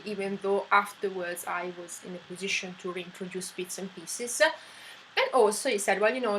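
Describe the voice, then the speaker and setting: A woman's voice: medium pitch, a bit bright, slight background noise, rough recording. A middle-aged woman from Italy with an Italian accent, telling her personal story in a chatty, emphatic way. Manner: chatty, many fillers, emphatic words. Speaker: vlogger.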